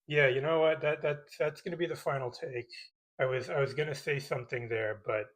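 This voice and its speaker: A man's voice: medium pitch, steady tone, fairly quick, low-quality recording. A man delivering a scripted comedic rebuttal in a sarcastic, mock-serious way, as a YouTube commentator. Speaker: YouTube commentator parodying movie critique videos.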